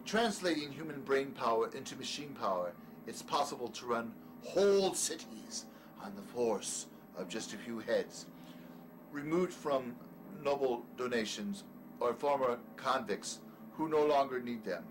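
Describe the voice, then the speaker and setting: An actor playing a manic, cruel, grandiose doctor in a horror audio drama, ranting and sneering, swinging from outbursts to mutters. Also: male voice, mid-range voice, animated, a little slow, over music, lightly acted, low-quality recording. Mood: grandiose lecturing.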